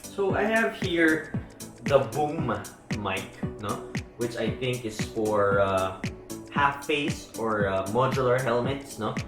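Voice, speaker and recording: A man's voice: medium pitch, animated, takes it slowly. A man with a Filipino accent gives a casual unboxing commentary, chatting in mixed English and Tagalog and often tagging his sentences with "no?". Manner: chatty Taglish with frequent "no?" tags. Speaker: motovlogger. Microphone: small handheld camera mic. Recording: echoey room. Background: background music.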